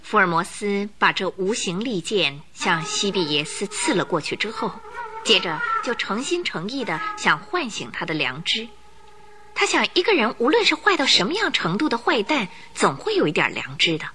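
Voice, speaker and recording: A woman's voice: mid-range voice, big pitch swings, moderate pace, background noise. A woman narrating a radio drama in a vivid, dramatic storytelling manner.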